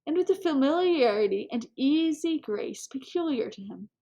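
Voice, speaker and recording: A woman with a moderately high voice narrates a literary novel. Her read-aloud delivery is scripted, measured and expressive. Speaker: audiobook reader.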